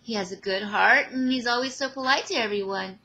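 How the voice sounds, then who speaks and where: A woman voicing an excitable, confident teenage girl in a fan audio drama, with gushing, emphatic delivery. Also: fairly high pitch, a little fast, pitched above the performer's own voice.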